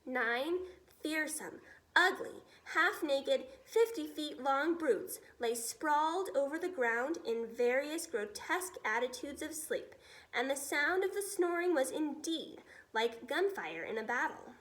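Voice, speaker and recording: High, very animated woman reading a story. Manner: dramatic, deliberate emphasis. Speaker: teacher reading a children's book aloud. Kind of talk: expressive storybook narration.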